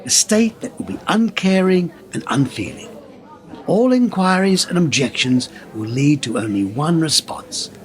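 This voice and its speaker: An actor in a radio play playing an impassioned whistleblower, speaking in an emphatic, conspiratorial way. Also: male voice, a little high, sing-song, measured pace. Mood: grave, ominous warning. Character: impassioned male whistleblower pressing a wary journalist.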